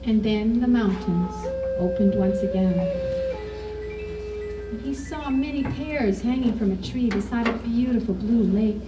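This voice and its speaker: A woman telling a folktale to children at a live puppet show, with measured, dramatic phrasing. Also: a little high. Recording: echoey, distant mic, music over voice. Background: ukulele or guitar music, clearly heard.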